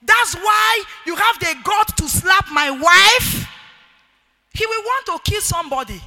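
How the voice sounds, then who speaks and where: A middle-aged woman with a Nigerian accent and a loud, forceful voice, preaching a marriage sermon emphatically and repeating key phrases. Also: extremely high pitch, animated, unhurried. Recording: echoey hall with long reverb.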